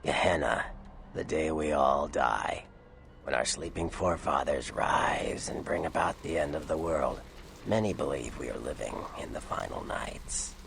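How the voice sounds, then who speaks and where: An actor playing a worldly, sardonic old vampire informant in a video game, gravelly, with a dry, wry, slangy way of explaining things. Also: male voice, very deep voice, measured pace, a bit bright, soft background music, exaggerated voice. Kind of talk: video game character dialogue.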